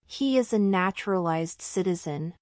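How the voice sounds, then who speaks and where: A woman's voice: on the low side, animated, normal speed, darkish tone, dry recording, natural voice. A woman playing a polite married citizenship applicant in a scripted practice interview, answering formally and carefully in full sentences. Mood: polite, composed.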